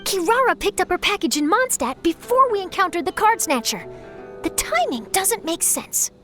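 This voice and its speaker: A young adventurer girl in a video game. She sounds very high and expressive, thoughtful and questioning, with faint music underneath. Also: moderate pace, exaggerated voice. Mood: pondering. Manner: pondering, questioning. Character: young female traveler, thoughtful, inquisitive investigator.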